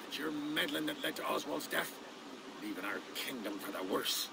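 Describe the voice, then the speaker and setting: Grumpy bitter old saxon reeve, gravelly game character, noisy background. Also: male voice, a little high, lively intonation, moderate pace. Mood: bitter, accusing. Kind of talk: video game cutscene acting.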